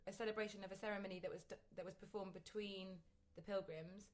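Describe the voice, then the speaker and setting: A woman's voice: mid-range voice, fairly even intonation, quick pace. A woman co-hosting a podcast, chatting playfully with wordy, jokey turns of phrase. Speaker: podcast co-host. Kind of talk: casual chat.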